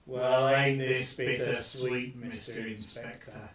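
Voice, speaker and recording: Man acting a slow, sneering burglar, echoey. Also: medium pitch, flat delivery, fairly bright tone, recorded from a distance. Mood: taunting. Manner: taunting. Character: cocky, menacing male burglar, sneering thug. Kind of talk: steampunk radio play acting. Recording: echoey room, off mic.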